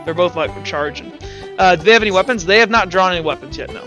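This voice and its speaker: A man's voice: sits high, fast, pushed and bright. A man narrating a tabletop roleplaying game as game master, casual, slangy and improvising as he goes, with music playing.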